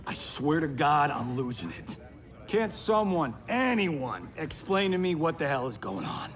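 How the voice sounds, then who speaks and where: An actor with an American accent playing a brash, flippant, wisecracking young movie star in a video game. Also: male voice, fairly high pitch, big pitch swings, measured pace. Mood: exasperated, bewildered. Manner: exasperated, flippant, theatrical.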